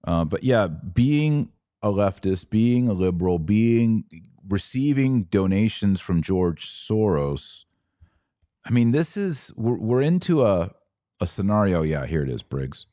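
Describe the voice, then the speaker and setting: A man's voice: on the low side, sing-song, unhurried, mellow, no echo, studio quality. A man with an American accent hosting a live commentary podcast, conversationally reading out viewer comments, posing questions and thinking aloud. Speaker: podcast host.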